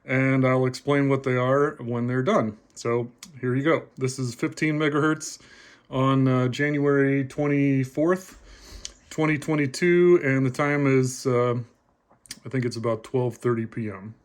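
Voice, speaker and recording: Guy casually explaining his hobby, plain conversational talk, middle pitched voice. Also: fairly even intonation, measured pace. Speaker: presenter, radio hobbyist.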